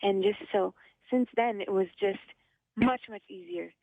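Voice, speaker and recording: Slightly raspy young woman on the phone. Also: mid-range voice, a little slow, no echo. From Ottawa, Canada. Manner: upbeat, laughs often. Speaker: phone-in guest. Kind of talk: casual radio interview. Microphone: phone line. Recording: tinny dry phone line.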